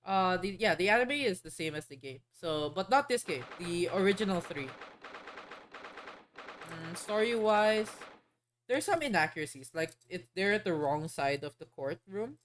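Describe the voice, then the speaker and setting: Rambling, low-voiced woman streamer. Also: expressive, moderate pace, a little hiss or hum. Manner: rambling, hesitant, many fillers and restarts. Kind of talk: casual chat while playing a game on stream.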